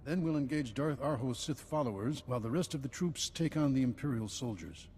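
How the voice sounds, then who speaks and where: An actor playing a wise male Jedi master in a video game, calm and solemn. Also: medium pitch, brisk, in a large hall, a little hiss or hum, lightly acted. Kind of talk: game voice acting.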